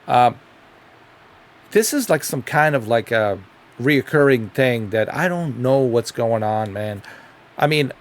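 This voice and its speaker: A man's voice: medium pitch, lively intonation, slow pace, long pauses. A middle-aged man from Bosnia with a Bosnian accent, rambling through a casual gaming commentary vlog with many ums and repeats. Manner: rambling, repeats himself, frequent ums and uhs. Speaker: gaming video creator.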